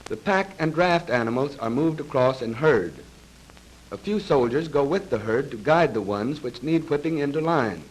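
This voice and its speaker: A man reads scripted narration for a 1930s military training film in a formal, measured, precise manner, on a vintage film soundtrack. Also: medium pitch, expressive, slightly rough, poor audio. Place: voice-over recorded for a film.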